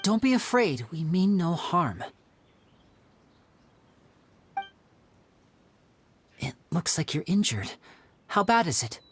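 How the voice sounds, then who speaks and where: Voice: medium pitch, sing-song, moderate pace, a little hiss or hum, close to an everyday voice. An actor playing a polite, composed young male magician in a video game, courteous and reassuring as he explains things.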